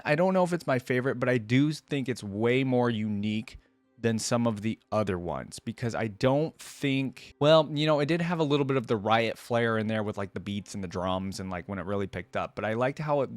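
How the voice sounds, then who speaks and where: A man's voice: fairly low pitch, normal speed, no echo. A man hosting a reaction video, talking casually and directly to viewers in a rambling, conversational way and often hedging his opinions.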